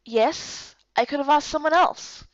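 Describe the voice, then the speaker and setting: A woman voicing an earnest, stubborn young heroine in a fantasy visual novel, with expressive, emphatic delivery. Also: high voice, unhurried, no echo, lo-fi sound, pitched above the performer's own voice. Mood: defensive. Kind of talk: visual novel game character dub.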